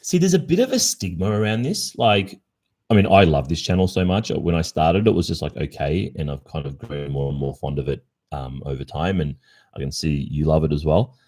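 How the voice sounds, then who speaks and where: A man in his early forties with an Australian accent, chatting casually to livestream listeners in a thoughtful, halting way. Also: very deep voice, lively intonation, a little mellow. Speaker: ASMR roleplay streamer. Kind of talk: casual livestream chat answering listener questions.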